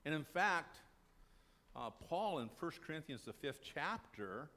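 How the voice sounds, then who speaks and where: A man's voice: on the high side, very animated, unhurried, pushed and bright. An older man preaching a sermon in a small church, talking to the congregation in a conversational way and answering his own questions. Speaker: pastor. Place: small church sanctuary, indoors.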